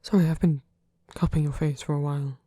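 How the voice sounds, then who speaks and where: A performer voicing a gentle, protective vampire priest in a soft, husky whisper, tender and hesitant, as intimate ASMR roleplay. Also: mid-range voice, steady tone, fairly quick, no echo, clean studio sound, close to an everyday voice. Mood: devoted, soft.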